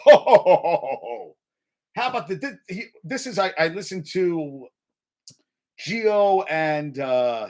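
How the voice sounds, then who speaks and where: A man hosting a casual sports talk show, ranting in a heated, profane, rambling way with frequent restarts and shout-outs to viewers in chat. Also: fairly high pitch, slightly rough. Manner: addresses viewers in live chat by name.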